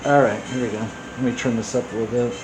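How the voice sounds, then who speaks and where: A man's voice: medium pitch, steady tone, fast talker, poor audio. A man giving casual, off-the-cuff gameplay commentary as host of a gaming video, offhand and a little apologetic. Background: game music.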